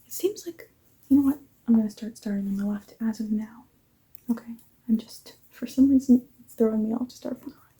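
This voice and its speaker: Sleepy woman whispering asmr, very warm mellow tone, keeps saying sorry. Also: a little high, fairly quick. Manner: soft whispering, apologetic asides. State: tired and yawning. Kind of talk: ASMR face-measuring roleplay.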